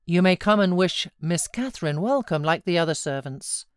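A condescending British gentleman acted by a woman narrator from a classic storybook, gloating as master of the house. The recording is dry and close. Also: lowish voice, expressive, normal speed, clear, resonant tone, no echo, natural voice. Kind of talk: storybook character reading.

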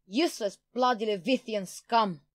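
Woman playing a scheming female politician, lowish voice, pompous, self-satisfied, theatrical audio drama villain, dry recording. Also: moderate pace, dry recording, natural voice. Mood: furious. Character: scheming, haughty woman politician, power-hungry and smug.

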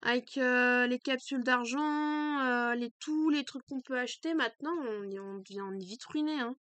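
A young woman streaming a game, chatting casually and excitably with a stuffy, congested nose, at a desk mic in a small room. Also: sits high, normal speed, smooth, no echo. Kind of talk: let's play commentary.

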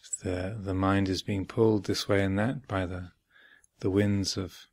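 A man giving guided meditation instruction as a teacher, speaking in measured short phrases with long pauses between them. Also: sits low, level tone, darkish tone, no echo. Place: retreat center, close dry recording.

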